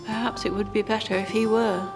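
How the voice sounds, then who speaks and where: Woman playing brisk polite time traveller, formal n wry, in charge, audio drama with music under it. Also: mid-range voice, flat delivery, lightly acted. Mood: hushed urgency.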